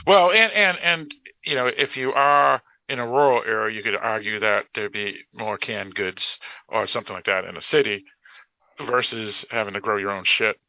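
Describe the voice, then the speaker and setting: A man's voice: on the low side, normal speed, no echo. A man with a Boston accent co-hosting a casual podcast discussion, explaining things in a rambling, hedging way. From Boston area, United States. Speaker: podcast co-host. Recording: dull lo-fi podcast audio.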